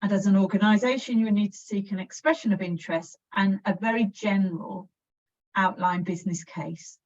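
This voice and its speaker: A woman with an English accent, a council officer explaining a process in an informal presentation, steady and practical with frequent restarts. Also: mid-range voice, moderate pace. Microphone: video call. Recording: distant, dull video call audio.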